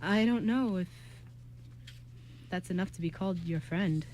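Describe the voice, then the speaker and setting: A woman voicing a calm, polite, reserved young male magical teleporter in an amateur radio drama, enunciating clearly and formally. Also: fairly low pitch, a little slow, slight background noise, natural voice. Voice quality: crisply enunciated.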